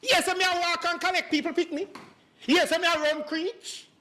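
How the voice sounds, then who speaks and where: A man's voice: extremely high pitch, flat delivery, moderate pace, bright, forceful tone, a little hiss or hum. A man playing a flustered, evasive married Jamaican businessman in a comic stage play, stammering and exasperated. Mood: indignant. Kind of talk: comedic stage play acting.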